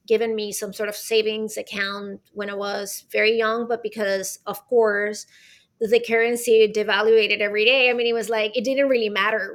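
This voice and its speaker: A woman from Venezuela, a guest on a podcast, talking in a lively, chatty way with many fillers like "right?". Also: medium pitch. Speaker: guest, arts consultant and author. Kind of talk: podcast interview.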